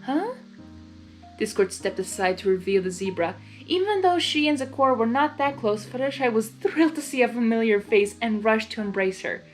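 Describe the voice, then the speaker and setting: A woman's voice: on the high side, lively intonation, a little fast, hardly any pauses, soft background music. A woman hosting and narrating a story reading expressively, with casual asides. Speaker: host and narrator of a blind reading.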